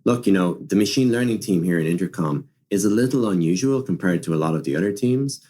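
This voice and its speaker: A man's voice: low voice, animated, quick pace, warm tone. A man speaking as an expert podcast guest, explaining conversationally and often repeating and rephrasing with plenty of "like" and "you know". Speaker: podcast guest, machine learning director. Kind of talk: conversational product and technology discussion.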